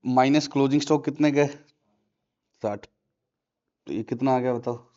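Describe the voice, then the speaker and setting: A man teaches a classroom lesson, stopping again and again to put questions to his students. His voice sits at an ordinary pitch. The recording sounds muffled and lo-fi. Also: fairly even intonation, moderate pace, dark tone. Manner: quizzing students with repeated questions. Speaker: commerce teacher. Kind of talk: classroom lecture.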